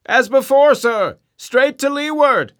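A man voicing a young sailor calling down from the masthead in high, strained shouts. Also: expressive, takes it slowly, exaggerated voice. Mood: straining. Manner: high strained calls from far off.

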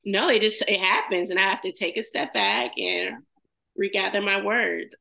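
A woman co-hosting a casual podcast, soft-spoken and hesitant, pausing often with "um". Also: mid-range voice, gravelly. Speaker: podcast co-host. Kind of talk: casual group chat.

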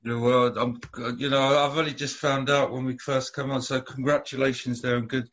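A man's voice: medium pitch, level tone, moderate pace, a bit bright. Hesitant man, dull lo-fi sound. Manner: hesitant with many ums and repeated words. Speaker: interviewer. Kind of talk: casual interview chat.

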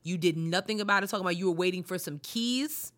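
A woman co-hosting a casual chat, with a lowish voice that still sounds bright. She drags out her words and hammers them one by one when she gets worked up. Her talk is relaxed and conversational. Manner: emphatic, slows down to stress indignant points. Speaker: podcast co-host. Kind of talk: casual chat about news.

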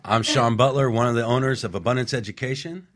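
Male radio host, mid-pitched, upbeat announcer delivery, studio mic. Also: quick pace, noisy background. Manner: enthusiastic, announcer-like. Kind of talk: upbeat radio show hosting.

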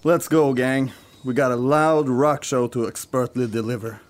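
A man playing a brisk, self-important band leader in an amateur radio comedy play. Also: mid-range voice, steady tone, lightly acted. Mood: resolute.

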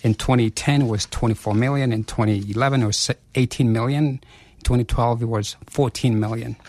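A man, a guest in a radio interview, arguing forcefully with rhetorical questions and repeated phrases. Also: mid-range voice, fairly even intonation, fairly quick. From South Africa. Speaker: chief investment officer, guest.

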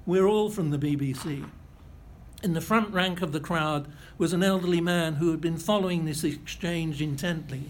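High-voiced man giving a lecture. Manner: measured, precise storytelling with occasional self-corrections. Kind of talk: reading a prepared memoir-style lecture.

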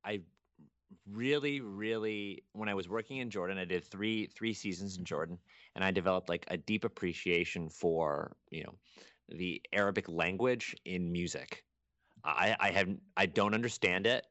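A young man from Canada, a guest chatting casually on a podcast, rambling and animated with many restarts. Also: sits low, normal speed, dry recording. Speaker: guest archaeologist.

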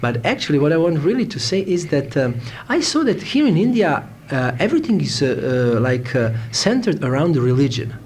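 Serbian guy being interviewed, slightly high voice, halting english, bit of background noise. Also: big pitch swings, darkish tone. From Novi Sad, Serbia. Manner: halting, searching for words in English. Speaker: interviewee, Hare Krishna devotee. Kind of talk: casual interview conversation. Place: a room in India. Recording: faint background noise, informal room interview.

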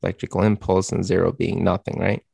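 A man's voice: low voice, little pitch movement, fast, vocal fry, darkish tone, slightly rough, dry recording, studio quality. A man on a podcast explaining things emphatically, often repeating himself. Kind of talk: casual chat.

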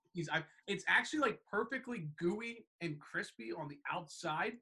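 A young man chats casually on a sports podcast. His voice sits a bit high. He rambles and circles back with lots of fillers and restarts. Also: moderate pace, pushed and bright. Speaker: baseball broadcaster, co-host.

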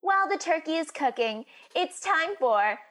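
High-pitched, sardonic housewife cooking host , acted by a woman. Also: animated, moderate pace, fairly bright tone. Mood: sardonic, perky. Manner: mock-cheerful, sardonic. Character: bitter, scheming housewife hosting a cooking show. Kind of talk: comedy radio play acting.

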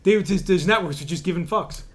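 Casting: male podcast co-host, slightly high voice, very quick delivery, casual and profane. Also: fairly bright tone. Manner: joking, profane. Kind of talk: casual banter.

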